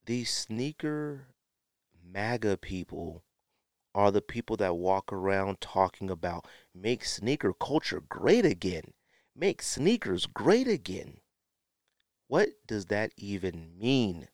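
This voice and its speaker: American male podcast host, middle-aged, lowish register, dry close sound, slangy and conversational. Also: sing-song, takes it slowly, dry recording. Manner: conversational, slangy, emphatic repetition. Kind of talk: casual solo podcast talk.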